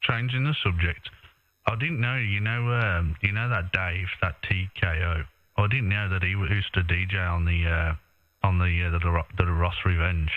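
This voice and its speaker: A low-pitched British man chats casually over a narrow-band radio link. He is matey and colloquial, often tagging questions onto his remarks. The sound is thin, like a ham radio transmission. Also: fairly even intonation, moderate pace, no room sound. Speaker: amateur radio operator.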